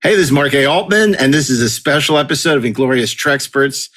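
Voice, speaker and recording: Male podcast host, medium pitch, conversational, effusive, wordy. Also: lively intonation, brisk, fairly bright tone.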